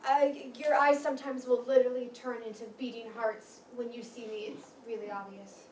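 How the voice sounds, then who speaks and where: A high-voiced young woman played by an actress in a play reading, casual, frank and a little hesitant. She sounds distant in an echoey room with faint noise. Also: flat delivery, fairly quick, a little mellow, lightly acted. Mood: uneasy, frank.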